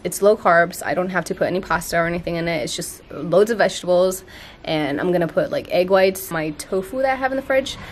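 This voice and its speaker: A woman's voice: on the low side, slight background noise. An American woman in her thirties chatting casually and expressively to camera in an informal vlog, held at arm's length. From Thailand-born, raised in the United States. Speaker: fitness vlogger. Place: outdoor patio by a pool at home.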